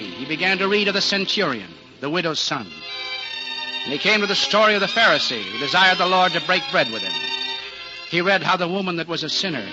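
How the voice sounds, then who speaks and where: A man narrating a 1940s radio drama as a scripted story, with solemn, literary delivery. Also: a little high. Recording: muffled 1940s radio recording. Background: music underneath.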